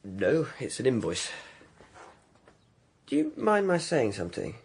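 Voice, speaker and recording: An English actor playing a relaxed, chatty male poet who lays floors, in a radio comedy drama. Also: mid-range voice, a little slow, vocal fry, breathy edge, exaggerated voice. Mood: easygoing.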